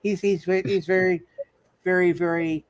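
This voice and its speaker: A man's voice: high-pitched, flat delivery, normal speed, no room sound. A man narrating a live tabletop superhero game as game master, stammering and often repeating his words.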